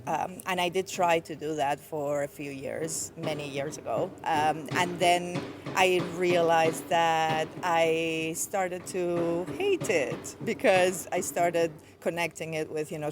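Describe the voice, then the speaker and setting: Farsi-native woman from Iran, lowish voice, reflective interview guest, faint ambience around. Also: a little hiss or hum. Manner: reflective, with soft fillers and light laughs. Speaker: guest poet. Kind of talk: casual interview. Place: outdoors outside a bookshop.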